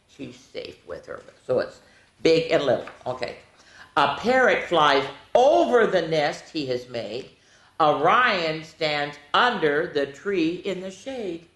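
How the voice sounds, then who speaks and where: A mature woman reads picture books aloud to young children in a roomy, echoing space. Her pace is slow, with key words drawn out. She is animated and often breaks off to speak straight to her young listeners. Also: sits low. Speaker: children's storytime host. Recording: roomy, echoey room sound.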